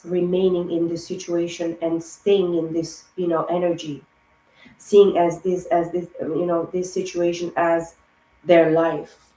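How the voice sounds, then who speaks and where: A woman giving a rambling spiritual tarot reading, hoarse and losing her voice, piling up words in long lists. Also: fairly low pitch, dark tone, reverberant, poor audio. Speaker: tarot reader.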